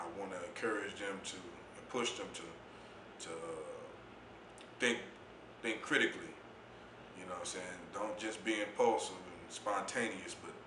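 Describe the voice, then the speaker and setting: A man with a deep voice, speaking as an interview guest in a laid-back, reflective way about his poetry and youth mentoring. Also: roomy sound, noisy background. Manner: laid-back, reflective, says "you know what I'm saying" often. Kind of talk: casual interview talk.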